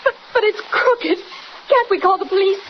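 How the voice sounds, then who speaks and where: A very noisy, dull vintage radio recording carries a high, plaintive young American woman. She is a trusting, fretful victim of a swindle, voiced in 1940s melodrama style. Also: normal speed. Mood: pleading. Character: distraught, trusting young woman, cheated park owner.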